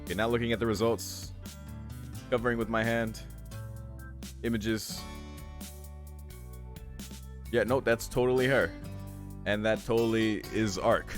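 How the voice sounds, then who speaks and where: A man with a low voice giving casual commentary as he reads through a visual novel on a stream. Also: steady tone, moderate pace, long pauses, soft background music. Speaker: streamer reacting to a game. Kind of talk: casual commentary while reading visual novel text aloud.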